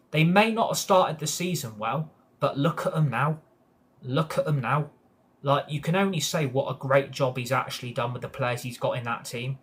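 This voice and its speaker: A man's voice: medium pitch, a bit bright. A man hosting a live football match reaction stream, ranting heatedly, swearing often and answering his live chat. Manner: heated ranting with frequent swearing and repeated agreement. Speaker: football fan channel host.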